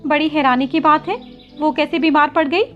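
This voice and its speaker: Woman as a timid, high-voiced young sparrow. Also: fairly even intonation, normal speed, fairly bright tone, muffled recording, faint music behind. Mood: polite, subdued. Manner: polite, hesitant, pleading. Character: meek, timid young female village sparrow, a student. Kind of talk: Hindi children's cartoon story acting.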